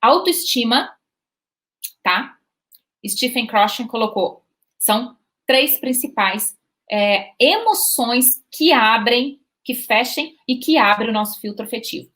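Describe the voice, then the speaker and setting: A woman's voice: a little high, slow. A Brazilian woman talking casually to parents about children learning English, checking in often with short questions. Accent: Brazilian Portuguese. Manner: lively, repetitive, asks "tá?" and "né?" to check in. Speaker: bilingual parenting educator. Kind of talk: informal video talk to parents.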